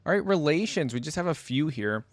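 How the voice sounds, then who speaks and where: A man's voice: fairly high pitch, expressive, brisk, dry recording. A man hosting a casual reaction video, reading reference text aloud and adding easygoing asides of his own. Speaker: host of a game lore reaction channel.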